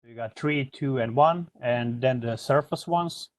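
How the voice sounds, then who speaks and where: A man giving an informal software tutorial as a product trainer, explaining step by step in a hesitant way. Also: medium pitch, a little slow, no echo.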